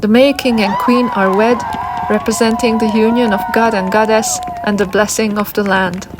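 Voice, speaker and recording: A woman's voice: mid-range voice, little pitch movement, moderate pace, very noisy. A woman reading scripted narration. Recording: loud music and crowd behind. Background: music, crowd.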